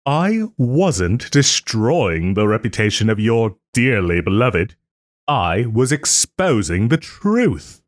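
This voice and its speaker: Man playing a wry, haughty nobleman, theatrically animated. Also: on the low side, no room sound, studio quality. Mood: indignant. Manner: sardonic, haughty, theatrical phrasing with pointed pauses. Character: wry, wounded young nobleman lord, sarcastic and proud. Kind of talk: scripted roleplay audio drama monologue.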